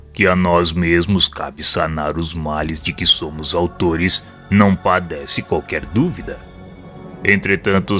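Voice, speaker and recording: A very deep-voiced, wise male spirit mentor, played by a man, with a Brazilian accent and a forceful, bright edge. He speaks solemnly and patiently, persuading in measured phrases, with faint music underneath as in an audio drama. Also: exaggerated voice.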